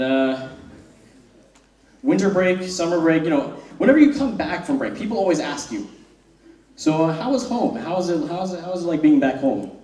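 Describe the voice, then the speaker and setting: A man's voice: on the high side, darkish tone. A young man, giving a wry, self-deprecating spoken-word talk on stage to a live audience. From born in South Korea, raised in the US. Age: young adult. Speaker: student speaker. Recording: roomy hall, mic from far off.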